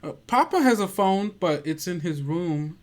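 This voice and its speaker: Shy little girl, played by a man, lifted pitch, obedient and hesitant, for a tabletop game. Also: lively intonation, moderate pace. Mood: hesitant, uneasy.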